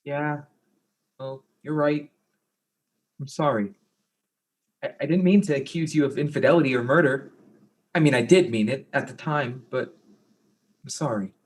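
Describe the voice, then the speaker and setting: A man's voice: medium pitch, normal speed, long pauses, natural voice. A man acting an insecure, jealous and goofy fiancé in a darkly comic mystery play, earnest and flustered. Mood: sheepish.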